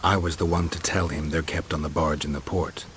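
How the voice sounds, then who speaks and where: Video game mercenary hunter, stoic and laconic, very deep register, dry understated delivery, faint background ambience. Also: male voice, fairly even intonation, a little fast, fairly bright tone, slight background noise. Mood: calm, determined. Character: stoic male monster hunter, low and gruff.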